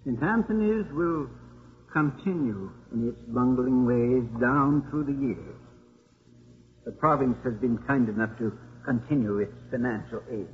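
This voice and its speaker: An actor playing a contrite, weary old priest who heads a college, speaking solemnly and formally in a 1950s radio drama. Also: male voice, mid-range voice, close to an everyday voice. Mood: solemn regret. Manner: solemn, measured, formal speech. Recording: muffled, noisy 1950s radio broadcast.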